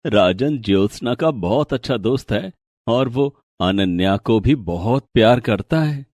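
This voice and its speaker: A man voicing a kindly, earnest, gently authoritative older father-in-law in a cartoon story. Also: medium pitch, lively intonation, measured pace, no echo, close to an everyday voice. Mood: thoughtful. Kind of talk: animated moral story dialogue.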